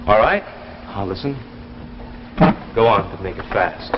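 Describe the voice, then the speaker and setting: A man's voice: on the low side, animated, unhurried, heavy background noise. An actor playing a skeptical, indignant ordinary man in a 1950s science fiction drama, agitated and protesting. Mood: incredulous. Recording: dull vintage 1950s broadcast, music behind.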